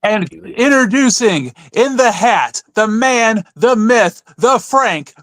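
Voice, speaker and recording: A man's voice: sits high, expressive, takes it slowly, a bit bright, dry recording. A man co-hosting a podcast in casual conversation. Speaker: podcast co-host.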